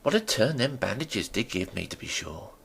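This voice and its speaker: A man's voice: fairly low pitch, very animated, normal speed, vocal fry, fairly bright tone, slightly rough, full character voice. A man voicing a chatty, nosy, deferential country landlady in a storybook reading, with folksy rustic talk that rambles and fusses. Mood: gossipy fussing.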